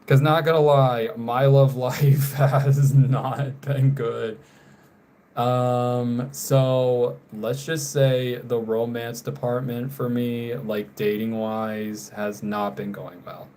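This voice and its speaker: A young man from North Carolina talks to his live stream viewers in an easy, unhurried way. His voice sits at an ordinary pitch. His sentences tend to fade softly at the end, and he keeps apologizing for getting names wrong. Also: level tone, slight background noise. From North Carolina, USA. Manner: slow, trailing off quietly, apologetic about names. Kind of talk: casual live stream chat while drawing.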